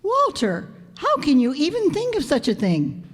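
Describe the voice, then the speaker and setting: A woman's voice: sits high, huge pitch swings, moderate pace. A woman playing a wry, exasperated schoolteacher who tosses off dry quips in an old-time radio comedy. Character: wisecracking, exasperated female high-school teacher. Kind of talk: 1940s radio comedy acting. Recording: very echoey hall stage recording.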